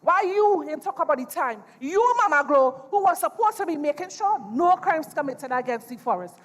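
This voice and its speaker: A woman's voice: high-pitched, expressive, moderate pace. A woman playing a boastful old retired blood-sucking spirit on stage, with a Trinidadian accent and emphatic, dramatic storytelling. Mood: accusing, teasing. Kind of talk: theatrical stage dialogue. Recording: echoey theatre hall.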